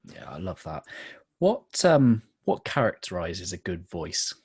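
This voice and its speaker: A woman's voice: a bass voice, sing-song, a little slow, slightly rough, no room sound, studio quality. A woman hosting a podcast interview, encouraging her guest with frequent affirmations. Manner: warm encouraging interviewer, many affirming murmurs. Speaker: podcast host.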